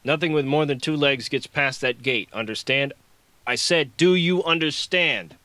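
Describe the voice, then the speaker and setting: A man playing a gruff military squad leader in an audiobook, giving clipped, commanding orders. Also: medium pitch, normal speed, sharp tone, no echo, voice pushed up, close to an everyday voice. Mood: stern. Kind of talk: audiobook character acting.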